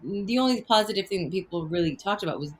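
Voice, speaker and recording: A woman's voice: mid-range voice, expressive, fast talker. A middle-aged woman guest born in Afghanistan and raised in Canada, speaking thoughtfully in a conversational interview with many fillers and restarts. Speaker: guest, activist and author.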